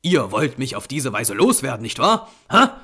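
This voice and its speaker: A man's voice: mid-range voice, very animated, normal speed, slightly rough, exaggerated voice. Man acting a harsh, defiant, haunted man. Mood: angry, suspicious. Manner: barsh, loud, accusing.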